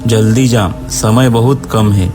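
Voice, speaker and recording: A man voicing a stern village healer in a horror cartoon, speaking gravely in a measured, ominous way. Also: on the low side, fairly even intonation, over music. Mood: grave, urgent. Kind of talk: Hindi horror cartoon story dialogue.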